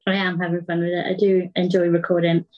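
A woman's voice: fairly low pitch, level tone, fast talker, muffled recording. A British woman chatting casually as an interview guest. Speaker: podcast creator being interviewed.

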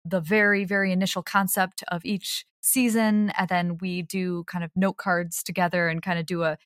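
A woman's voice: lowish voice, level tone, normal speed, no echo, clean studio sound. An American woman chatting casually as an interview guest, in an easy conversational way. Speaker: guest, audio drama director.

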